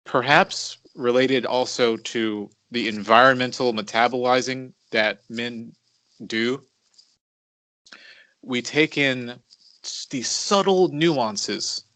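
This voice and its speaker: A man in his mid-thirties talking as a podcast co-host in a reflective, confessional way, halting and deliberate, repeating words for emphasis. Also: medium pitch, sharp tone, no room sound, poor audio.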